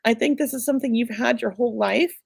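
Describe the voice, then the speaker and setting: Creaky-voiced woman psychic medium. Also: fairly high pitch, fast, dry recording, clean studio sound. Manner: chatty, reassuring, many filler words. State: losing her voice. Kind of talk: live-stream psychic reading.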